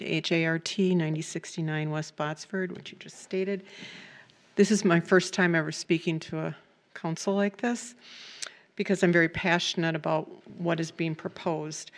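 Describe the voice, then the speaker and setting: An older woman giving a prepared public comment at a meeting as a local resident. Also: on the low side. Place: council meeting, at a microphone.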